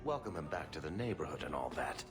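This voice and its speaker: Sardonic dwarf merchant, very deep, dry humor, game score underneath. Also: male voice, very animated, fast. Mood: wry. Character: wry, fast-talking male dwarf merchant. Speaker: video game character. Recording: game cutscene with music behind.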